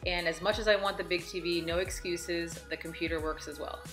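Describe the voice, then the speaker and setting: A woman's voice: lowish voice, fairly even intonation, brisk, over loud music. A woman in her thirties vlogging at home, talking through her workouts and meal prep in an upbeat, encouraging, chatty way. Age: 30s. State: out of breath after a workout. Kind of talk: casual vlog narration. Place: home living room and kitchen.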